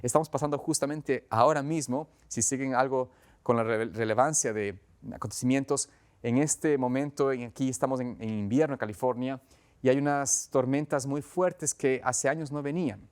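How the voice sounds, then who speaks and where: A man's voice: medium pitch, normal speed, smooth. A man with an Ecuadorian accent preaching as a pastor in a conversational, earnest, explanatory way, often rephrasing as he goes. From Quito, Ecuador. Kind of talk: conversational sermon.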